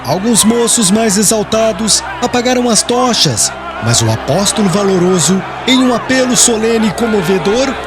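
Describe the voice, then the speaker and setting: A man's voice: sits high, animated, moderate pace, few pauses, crowd noise. A man narrating an audiobook in a solemn, formal storytelling manner. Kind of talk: scripted literary narration. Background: music.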